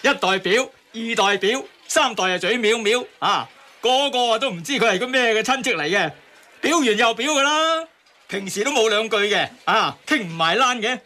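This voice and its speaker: High-voiced, grumbling taxi driver. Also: male voice, lively intonation, normal speed, sharp tone. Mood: annoyed, griping. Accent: Hong Kong Cantonese. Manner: griping, exclamatory. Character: grumbling male taxi driver, hot-tempered husband and father. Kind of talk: radio drama acting.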